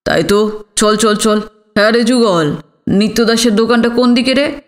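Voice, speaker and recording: A woman voicing a genial, curious city gentleman and father in an audiobook, chatty and inquisitive. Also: on the high side, steady tone, moderate pace, natural voice. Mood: brisk. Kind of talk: audiobook character dialogue.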